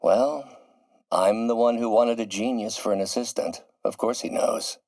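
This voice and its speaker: An actor voicing a grandiose, middle-aged scientist turning villain in a video game, theatrical and erudite, with precise enunciation. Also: male voice, medium pitch, moderate pace, vocal fry, a little mellow, no room sound, studio quality, close to an everyday voice. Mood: anxious, weary. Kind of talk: video game voice acting, dramatic monologue.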